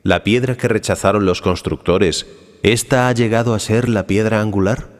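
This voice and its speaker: Guy acting as a deep solemn holy teacher in a bible audio drama, slow-weighted authority, long echoing reverb. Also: fairly even intonation, lightly acted. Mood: stern, questioning. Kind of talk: dramatized scripture reading. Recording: long reverberant hall echo.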